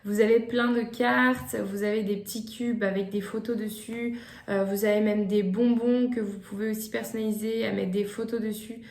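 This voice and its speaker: A woman's voice: mid-range voice, little pitch movement, normal speed, a little mellow. A young woman vlogging gift ideas in casual chat to the camera, hesitant, with many fillers and restarts. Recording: echoey room.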